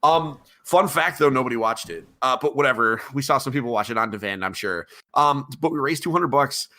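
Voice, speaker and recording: A man's voice: mid-range voice, a little fast, pushed and bright. A middle-aged man hosting a casual podcast chat, rambling with many ums and restarts. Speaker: podcast host.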